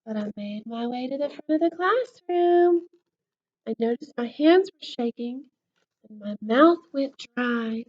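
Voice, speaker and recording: A woman reading a children's story aloud in an expressive, animated way, like a school counselor with a class. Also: on the high side, a little slow, muffled recording.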